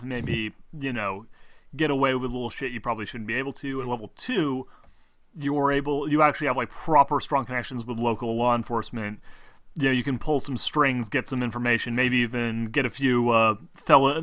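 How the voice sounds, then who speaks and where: A man explaining game rules as game master in a casual, halting, rambling way, often clearing his throat. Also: medium pitch, sharp tone, dry recording. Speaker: game master of a tabletop role-playing game.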